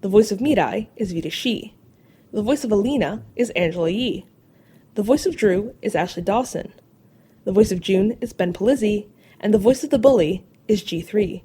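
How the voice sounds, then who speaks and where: Mellow, slightly husky woman announcer. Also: mid-range voice, lively intonation, normal speed. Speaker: podcast credits announcer. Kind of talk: scripted credits narration.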